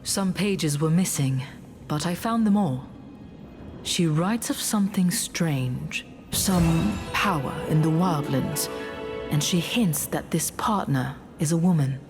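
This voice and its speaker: A woman playing a solemn, earnest heroine in a fantasy game, speaking in a measured, serious way. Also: low-pitched. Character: solemn gauntlet-wielding heroine, earnest and steady. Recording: music behind.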